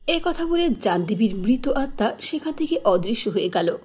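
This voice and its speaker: Husky, expressive woman storyteller. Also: on the high side, moderate pace. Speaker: narrator. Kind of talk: scripted fairy-tale narration.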